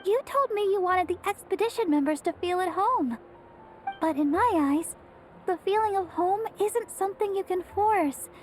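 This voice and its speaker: A woman voicing a sweet, sincere young woman in a video game, gentle and heartfelt. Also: very high-pitched, moderate pace, faint music behind. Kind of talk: video game character acting.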